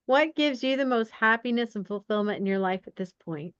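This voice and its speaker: A woman's voice: on the high side, animated, brisk, clear, resonant tone, dry recording. A woman hosting a podcast and putting conversational questions to a guest. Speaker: podcast host and interviewer. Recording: dry, dull lo-fi sound.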